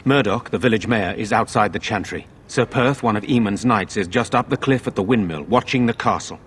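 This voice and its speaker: An actor playing a gracious, burdened middle-aged lord in a fantasy game, speaking formally and earnestly. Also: male voice, on the low side, fairly quick, a little hiss or hum, lightly acted. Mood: grateful, resolute. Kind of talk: fantasy video game acting.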